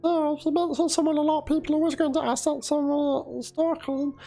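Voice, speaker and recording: A streamer character in a dramatic read, pitched far up into a squeak. The delivery is halting, noncommittal and full of ums, with music behind it. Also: little pitch movement, gravelly, dark tone. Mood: evasive, hesitant. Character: calm, hesitant, evasive streamer with a squeaky high voice. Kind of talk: dramatic reading of chat messages. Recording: music under the voice.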